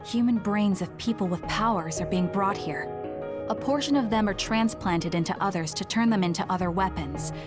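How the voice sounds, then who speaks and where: A woman's voice: medium pitch, normal speed, over music, natural voice. An actress playing an earnest, protective young female soldier in a video game. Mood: grave. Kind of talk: English video game voice acting.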